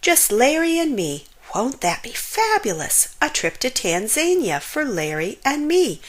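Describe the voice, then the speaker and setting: A woman's voice: big pitch swings, a little slow, full character voice. A woman voicing an exuberant, doting, bossy grandmother sheep from a storybook, gushing and emphatic. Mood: excited, dreamy. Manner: gushing, emphatic, booming on key words.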